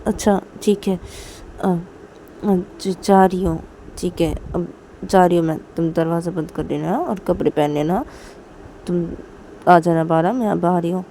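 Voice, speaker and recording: Woman doing sheepish young guy going "kya hai", coaxing, soft mellow voice. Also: on the low side, measured pace, slight background noise, rough recording. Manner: coaxing, defensive. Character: sheepish young man, the sister's husband.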